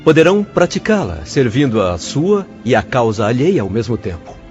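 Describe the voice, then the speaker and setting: A Brazilian actor playing a compassionate spirit doctor in a radio drama, counselling in solemn sermon tones with swelling emphasis. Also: male voice, mid-range voice, very animated, normal speed, a little mellow, lightly acted. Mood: grave, compassionate. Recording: dull lo-fi audio over music.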